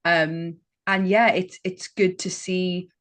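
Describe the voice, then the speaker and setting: Welsh woman, low-pitched, chatty. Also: flat delivery, slow pace, a bit bright. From Wales. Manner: enthusiastic, lots of fillers and small laughs. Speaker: author guest. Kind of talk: casual interview chat.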